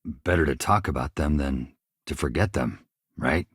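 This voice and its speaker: A man's voice: a bass voice, moderate pace, breathy edge, dry recording, studio quality. A man acting in a video game as an earnest, grateful engineer haunted by an accident he survived, speaking politely and a little hesitantly. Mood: subdued, troubled.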